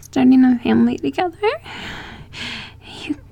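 A woman playing an obsessive, possessive young female lover in an audio roleplay, slow and gravelly, swinging between hushed and loud. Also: high voice, no room sound, muffled recording. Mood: hurt, accusing.

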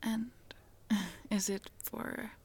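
A woman's voice: a little high, level tone, drawn-out words, slightly rough, exaggerated voice. A woman whispering in a Swedish accent as a chatty young Swedish traveler, friendly and frank, in a close-mic roleplay. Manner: soft whisper with small laughs.

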